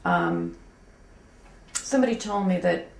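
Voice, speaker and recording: Candid fifty-something woman, low gravelly voice. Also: wildly swooping pitch, a little slow, darkish tone. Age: 50s. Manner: self-deprecating, frank, many fillers and restarts. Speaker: graphic designer, interviewee. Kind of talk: candid reflective interview talk. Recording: echoey room with faint background hum.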